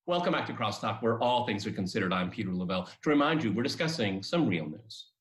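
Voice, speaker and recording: A man hosting a political panel discussion, speaking in a halting, emphatic way. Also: fairly low pitch, sing-song, over loud music. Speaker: talk show host. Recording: echoey room.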